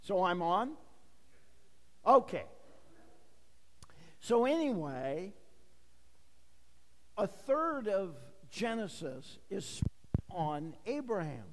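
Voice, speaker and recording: A middle-aged male pastor preaching in a church, his fairly high voice ringing out through a long, echoing reverb. He takes his time, speaking slowly, and his delivery stays conversational, peppered with asides and rhetorical questions. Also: big pitch swings. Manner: conversational, jokes with the congregation, asks rhetorical questions. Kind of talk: sermon. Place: church sanctuary. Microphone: handheld or lapel microphone, recorded for a booth.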